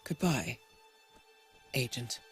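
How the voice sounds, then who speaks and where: Composed spy handler, expressive, music behind. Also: female voice, a bass voice, drawn-out words, heavily acted. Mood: remorseful, grave. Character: composed, remorseful spy handler woman, coolly calculating. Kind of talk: dramatic video game acting. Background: cinematic music.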